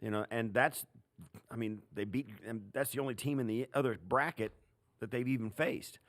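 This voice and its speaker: A man's voice: mid-range voice, fairly even intonation, normal speed, fairly bright tone, no room sound, clean studio sound. A man with an American accent co-hosting a football podcast as a former player, casual and emphatic with punchy, repeated phrases.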